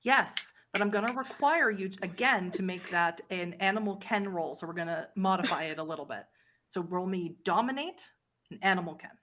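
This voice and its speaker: A woman with a slightly low voice leads a tabletop roleplaying session as game master. She describes scenes to her players and explains the rules as she goes. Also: lively intonation, fairly quick, fairly bright tone, slight background noise.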